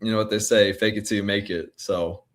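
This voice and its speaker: Man, twenties, lowish pitch, casual interview answers, laid-back, colloquial, athlete. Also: steady tone, brisk, background noise. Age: 20s. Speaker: college baseball pitcher being interviewed.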